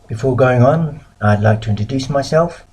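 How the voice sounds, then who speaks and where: A middle-aged man from the UK giving a recorded lecture as a missionary, measured and pausing often. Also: mid-range voice, darkish tone, slightly rough. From United Kingdom.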